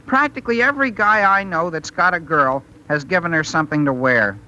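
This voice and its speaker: A man's voice: a little high, lively intonation, fairly quick, sharp tone, lo-fi sound. A man playing an eager, awkward teenage boy who wheedles and stammers earnestly in a 1930s radio comedy. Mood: pleading.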